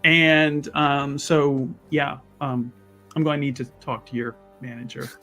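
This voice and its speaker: A man playing a tabletop roleplaying game, casually narrating his character's actions in an excitable, loosely worded way. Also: mid-range voice, a little slow, soft background music.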